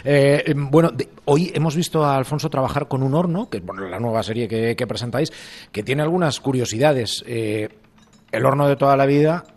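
A man's voice: medium pitch, a little fast, darkish tone. A man with a Castilian Spanish accent hosting a radio show, chatting informally as he interviews guests in a colloquial way. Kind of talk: conversational tech interview.

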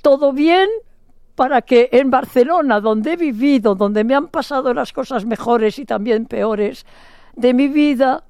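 Chatty older woman, smooth voice. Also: sits high, measured pace, darkish tone, no echo, clean studio sound. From Spain. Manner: chatty, playful, rambling with many restarts. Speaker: interviewee. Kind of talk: casual phone interview.

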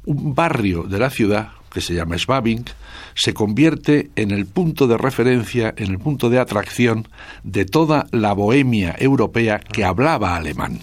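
A man's voice: lowish voice, animated, unhurried, dry recording. An older man from Spain, a guest author speaking in a radio interview, thoughtful and hesitant with many restarts.

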